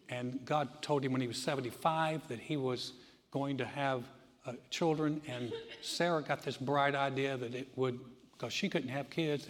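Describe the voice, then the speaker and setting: A man preaching a sermon as pastor, moving from easy conversational teaching into loud, emphatic delivery. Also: medium pitch, long reverb tail.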